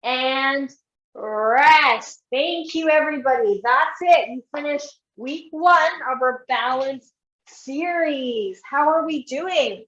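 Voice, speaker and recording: A woman physiotherapist with a high voice talks a class through stretches, step by step and encouraging. Her voice is a little croaky. The sound is roomy and echoing, with a dull, lo-fi quality. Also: slow pace, fairly bright tone. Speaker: physiotherapist leading an exercise class. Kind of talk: live online exercise instruction.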